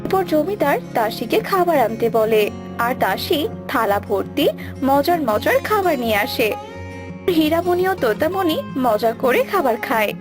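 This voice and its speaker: A woman's voice: high-pitched, big pitch swings, a little slow. A woman storyteller reading scripted narration for a children's cartoon tale. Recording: music behind the voice.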